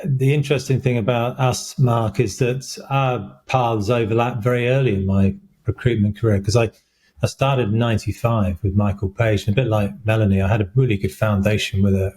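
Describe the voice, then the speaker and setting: A male recruiter speaks as a podcast guest in a low, slightly husky voice. He recounts his career steadily, with a touch of dry humor. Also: a little mellow. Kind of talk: casual interview chat.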